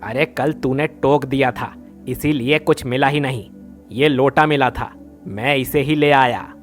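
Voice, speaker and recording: A man's voice: medium pitch, unhurried, soft background music, close to an everyday voice. A man playing a greedy village thief in a devotional story, brash, colloquial and grumbling. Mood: grumbling, defensive.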